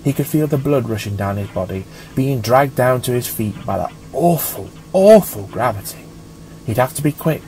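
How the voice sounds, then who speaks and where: A man reading a scripted story as an audiobook narrator, in a measured, dramatic storytelling manner. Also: medium pitch, animated, warm tone, slight background noise, rough recording.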